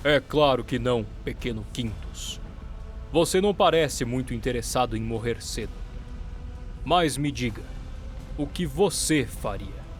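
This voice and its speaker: A man's voice: medium pitch, lively intonation, unhurried, lightly acted. A man playing a stern, dry-witted military commander in a sci-fi audio drama. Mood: wry. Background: music.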